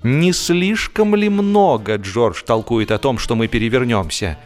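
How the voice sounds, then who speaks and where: A man's voice: medium pitch, animated, measured pace, faint music behind. A man reading humorous fiction as an audiobook narrator, with theatrical, wry delivery and varied emphasis.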